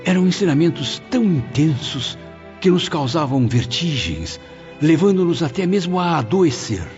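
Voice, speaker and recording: A man's voice: a little high, very animated, normal speed, a little mellow. An actor playing a humbled, marveling male spirit who narrates his own story dramatically and reverently in an audiobook. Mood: earnest. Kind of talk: first-person audiobook narration. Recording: muffled, clear background music.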